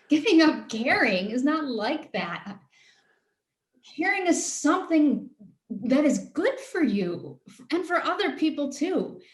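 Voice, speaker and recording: An actress playing an earnest, caring woman, halting as she searches for words, in a play reading over a video call. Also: high-pitched, sing-song, full character voice. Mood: exasperated, earnest. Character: earnest, caring woman pleading with a cynical friend. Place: roomy space, live Zoom call. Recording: roomy echo on video call.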